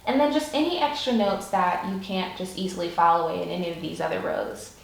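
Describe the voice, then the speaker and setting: A woman's voice: lowish voice, fairly quick, creaky. A young woman giving casual, upbeat career advice in a chatty vlog style, with lots of "like"s. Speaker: YouTube creator, product and project manager. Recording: echoey room.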